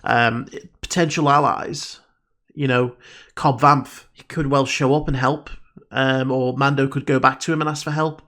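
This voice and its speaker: A man's voice: mid-range voice, steady tone, measured pace, bright, forceful tone. A man with a stuffed-up nose giving casual reaction commentary as a video host, musing and rambling with frequent ums.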